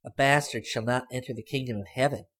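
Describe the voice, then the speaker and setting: A man's voice: medium pitch, fairly even intonation, fast talker, a bit bright, pitched above the performer's own voice, close to an everyday voice. A man voicing a brash, teasing teenage girl in an audiobook, speaking bluntly and cockily. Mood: brazen. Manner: blunt, artless, cocky. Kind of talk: audiobook character voice.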